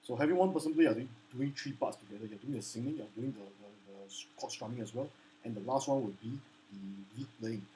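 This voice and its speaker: A man's voice: a little fast, warm tone, slight background noise, poor audio. A man teaching ukulele, speaking hesitantly with many restarts and "okay?" check-ins. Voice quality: low baritone voice. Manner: apologetic and earnest. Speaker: ukulele instructor. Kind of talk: casual spoken lesson with sung demos. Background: ukulele playing.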